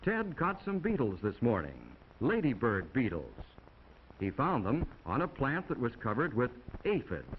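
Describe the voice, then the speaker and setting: A man's voice: medium pitch, wildly swooping pitch, measured pace. An American man narrating a 1950s classroom film in a folksy, instructive way with chatty asides to young viewers, on an old film soundtrack. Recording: dull, noisy 1950 film soundtrack.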